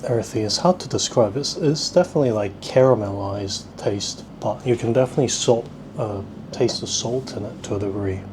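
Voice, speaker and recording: Rambling male vlogger with clear background noise. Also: on the low side, moderate pace, hardly any pauses. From South Korea, at least partly. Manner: rambling, self-deprecating, thinking aloud. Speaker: vlog host. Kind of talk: casual food-tasting vlog chat. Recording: clearly noisy ambient background. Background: ambient noise.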